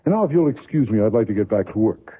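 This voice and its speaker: A man's voice: fairly low pitch, lively intonation, fast talker, slight background noise, close to an everyday voice. An actor playing a weary, sardonic, jealous male novelist in old-time radio drama, with clipped, dry retorts. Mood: dry, resolved. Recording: dull lo-fi old radio broadcast.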